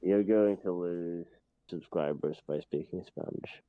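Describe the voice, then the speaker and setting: A man's voice: deep voice, unhurried, dry recording. A man on a call joining a stream chat and making dry, teasing jokes. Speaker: stream guest. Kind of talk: casual chat over a call. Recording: tinny phone-like call audio.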